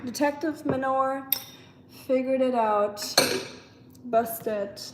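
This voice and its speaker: Brief: young German-accented woman, slightly high voice, casual streamer chatter, dishes clanking behind. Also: takes it slowly, heavy background noise. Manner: chatty, talks to chat while washing dishes. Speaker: live streamer. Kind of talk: casual live stream chat while doing chores. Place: home kitchen. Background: clattering dishes and cutlery.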